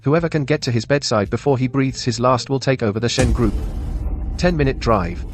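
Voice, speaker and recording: A man's voice: medium pitch, brisk, slight background noise, close to an everyday voice. A man acting as an anxious, earnest lawyer who pleads urgently in a radio drama.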